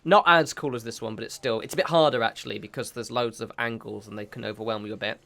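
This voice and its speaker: Sniffly guy chatting thru his gameplay, rambly, keeps correcting himself, mid pitch. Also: steady tone, quick pace, vocal fry, bright, forceful tone. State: sniffly from a cold. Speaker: gaming channel host. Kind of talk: casual gameplay commentary.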